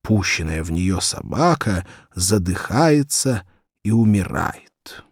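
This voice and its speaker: Guy doing a sweet young governess, dreamy teacher talk, measured, goes up and down a lot, dry sound. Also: low voice, animated, breathy edge, dry recording, exaggerated voice. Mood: dreamy, instructive. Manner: absent-minded, didactic, measured. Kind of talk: audiobook character dialogue.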